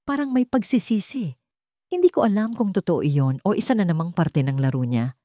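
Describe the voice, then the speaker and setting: A woman's voice: lowish voice, animated, moderate pace, warm tone, no echo, studio quality. A woman narrating a dramatic first-person romance story.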